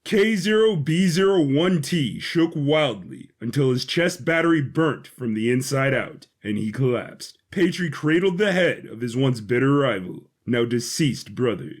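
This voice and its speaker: A man's voice: medium pitch, animated, measured pace, few pauses. A man reading scripted, dramatic narration for an audio drama.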